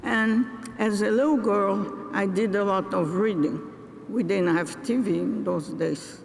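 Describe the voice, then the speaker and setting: An older woman from Brazil giving a graduation address, speaking in a deliberate, halting way with pauses and restarts. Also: mid-range voice, vocal fry, darkish tone. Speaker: commencement speaker. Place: large ceremony venue. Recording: reverberant hall with faint noise.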